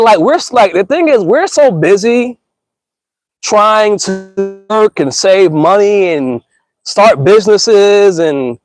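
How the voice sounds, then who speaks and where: A man in his thirties with a notably high voice, talking to his listeners in an earnest, rambling way. He slips into slang, restarts his sentences and calls everyone bro and y'all. The sound is close and dry, with no room around it. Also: dry recording, low-quality recording. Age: 30s. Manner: rambling with frequent restarts and stammers. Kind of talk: casual reflective monologue.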